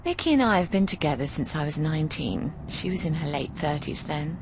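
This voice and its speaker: A woman's voice: low voice, animated, brisk, darkish tone, a little hiss or hum, rough recording. An actress playing an affectionate, frank, middle-aged British boarding-house landlady in a video game. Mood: happy, candid. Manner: affectionate, frank, cheeky, often calls people darling.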